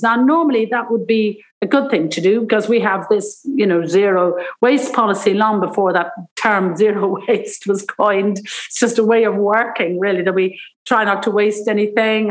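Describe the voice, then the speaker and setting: A woman's voice: mid-range voice, low-quality recording. An Irish woman in her seventies, a cooking school founder telling rambling stories in an interview and acting out the voices she quotes. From Ireland. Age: 70s. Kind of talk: interview, anecdotal storytelling.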